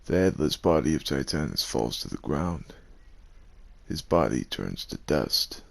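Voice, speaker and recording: Story narrator, male, extremely low and creaky, husky, dramatic scripted read. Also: sing-song, unhurried.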